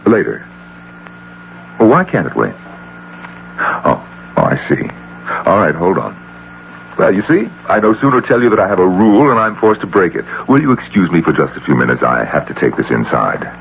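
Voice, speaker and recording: A man's voice: low-pitched, sing-song, moderate pace, gravelly, long pauses, exaggerated voice. An actor playing an urbane, teasing, self-assured male tycoon in a 1980 radio drama. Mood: interrupted, apologetic. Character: genial, teasing, powerful male industrial tycoon. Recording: muffled, hissy old radio broadcast.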